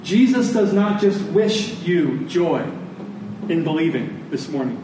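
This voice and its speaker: A man's voice: fairly high pitch, big pitch swings, unhurried. A man preaching a sermon in an earnest, emphatic manner, repeating phrases for weight. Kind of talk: sermon, closing in prayer. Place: large echoing room, church service. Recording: echoey large room, distant, faint noise.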